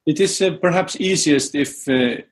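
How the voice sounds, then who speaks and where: A man whose first language is Dutch, speaking as a guest in an interview, pausing often with fillers and restarts. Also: a little high. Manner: hesitant, many fillers and restarts. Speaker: guest musicologist. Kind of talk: conversational interview.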